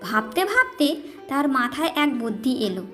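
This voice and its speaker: A woman's voice: high voice, sing-song, moderate pace, bright, forceful tone. A woman storyteller reading a scripted narration of a children's tale. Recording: music behind the voice.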